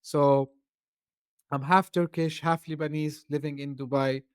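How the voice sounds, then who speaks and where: A man's voice: fairly high pitch, little pitch movement, a little slow, smooth, dry recording, clean studio sound. A man hosting a podcast interview. English is not his first language, and he talks loosely with many "you know" fillers. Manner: talkative, loose phrasing full of "you know". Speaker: podcast host. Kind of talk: casual interview chat.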